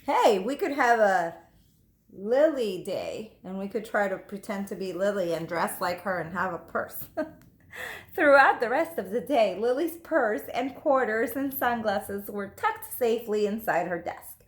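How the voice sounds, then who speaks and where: A woman teacher reading a children's story aloud, expressive and emphatic, speaking to young listeners. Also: mid-range voice, unhurried. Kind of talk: animated storybook narration. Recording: echoey room.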